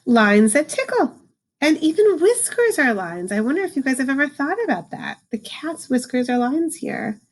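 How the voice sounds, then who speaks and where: A woman reading a picture book aloud to children, adding informal explanations and slowing down for the book's lines. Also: high-pitched, sing-song, poor audio. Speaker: read-aloud host, teacher for children.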